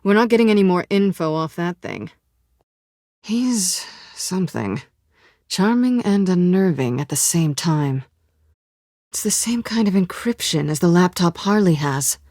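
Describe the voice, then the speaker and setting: A self-assured female cat burglar from a video game. Her voice sits on the low side and she takes her time over each line. She is sultry, wry and guarded, teasing with a cool confidence, and the sound is dry and close. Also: expressive, unhurried, darkish tone, no echo. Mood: wary, dry. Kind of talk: video game voice acting, dramatic dialogue.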